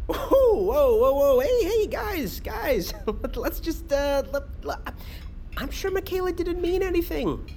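An actress playing a goofy, eager joker and nervous peacemaker in an audio drama, animated and coaxing. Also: female voice, high-pitched, unhurried. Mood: placating. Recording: faint ambient background noise.

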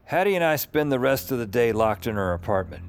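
An actor playing a weary, wry male hero in an audio drama, narrating and talking in a dry, casual, deadpan way. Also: mid-range voice, brisk, natural voice. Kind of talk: first-person audio drama narration and dialogue.

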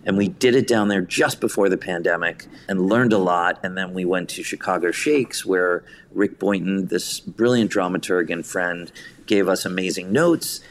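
A man with a very deep voice, chatting casually as a guest. He tells anecdotes and quotes conversations as he goes. There is faint noise behind him. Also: normal speed. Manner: anecdotal, quoting conversations in his own voice. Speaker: guest, theatre director and writer. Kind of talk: casual interview storytelling.

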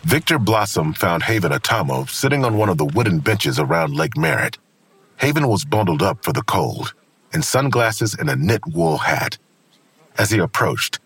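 A man narrating a crime audio drama as a scripted story, with a very husky voice. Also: lowish voice, fairly bright tone, full character voice. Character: male narrator of a noir-tinged crime drama.

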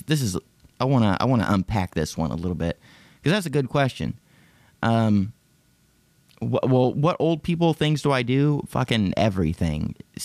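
A man's voice: on the low side, a little mellow, no room sound. A man in his late thirties, rambling and profane in casual self-deprecating podcast chat. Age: 30s. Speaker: podcast host.

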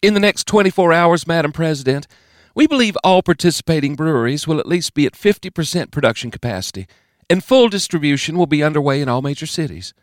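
A man doing a calm Southern-drawling woman official. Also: a little high, fast talker, no echo, clean studio sound, voice pushed up, natural voice. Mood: calm, reporting. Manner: calm, matter-of-fact reporting. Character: unflappable Southern woman official, former governor.

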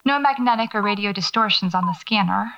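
A woman's voice: medium pitch, a little fast, noisy background, natural voice. A woman acting in an audio drama as a wry horror novelist who records understated, musing field notes to herself while out alone at night. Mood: observant, musing. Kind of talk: naturalistic audio fiction monologue, spoken field notes. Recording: dull lo-fi cassette-style tape.

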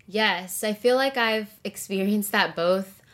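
Young woman, mid pitch, chatty and earnest, filler-heavy guest interview delivery. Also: takes it slowly, a bit bright. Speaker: podcast guest. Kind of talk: casual interview conversation.

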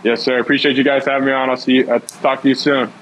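A man in his twenties, a college football player, talks through a radio interview in a relaxed, rambling way, full of likes and ums. His pitch sits in an ordinary middle range. A faint background noise sits under the line. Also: fast talker, rough recording. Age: 20s. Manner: easygoing, rambling, filler-heavy. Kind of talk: casual interview.

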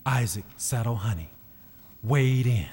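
A man's voice: medium pitch, lively intonation, a little slow, a little mellow, husky. A man narrating an old-time radio drama in a folksy storytelling manner. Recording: old radio recording with music behind.

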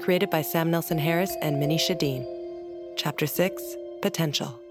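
A woman's voice: sits low, fairly even intonation, moderate pace, music in the background. A woman announcer reading scripted podcast credits and notices.

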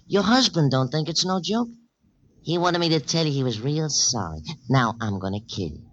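An actor playing a thuggish, mocking hitman in a 1940s radio crime drama, with husky, slangy tough-guy talk. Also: on the high side, lively intonation, normal speed, fairly bright tone. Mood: gloating menace. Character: thuggish small male hitman, menacing, mocking. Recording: dull old radio recording.

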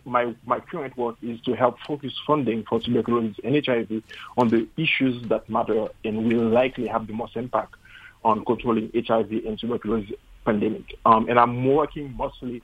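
A man's voice: mid-range voice, breathy edge. A man from Haiti, a physician, speaking hesitantly with many fillers in a radio interview over a remote line. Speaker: physician guest. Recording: tinny phone-like line.

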